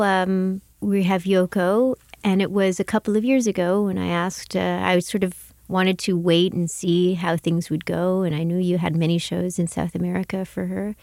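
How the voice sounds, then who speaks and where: A woman's voice: on the low side, creaky, a little mellow, no echo, clean studio sound. A woman curator speaking as an interviewer, articulate and earnest in her delivery. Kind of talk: scripted introduction and conversational interview.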